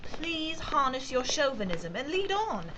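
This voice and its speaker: A shrewd society lady, acted by a woman, fairly high in pitch, assured and well-bred with a tart, wry edge. It is audio drama, with faint noise in the background. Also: very animated, measured pace. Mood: tart, defiant. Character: shrewd, sharp-tongued titled lady aiding an inspector.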